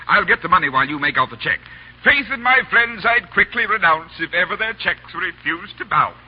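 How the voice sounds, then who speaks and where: An actor playing a jovial, eccentric amateur poet, hearty, theatrical and pleased with himself, in 1930s radio acting style. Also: male voice, high voice, animated, brisk, dull audio, a little hiss or hum. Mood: jovial, declaiming. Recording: band-limited 1930s radio broadcast.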